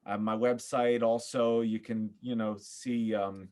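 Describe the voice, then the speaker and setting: A man speaking as a podcast guest in a casual interview, earnest and effusive, often pausing to think. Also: lowish voice, level tone, a little mellow. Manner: earnest, effusive, halting with thoughtful pauses. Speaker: podcast guest, theater performer.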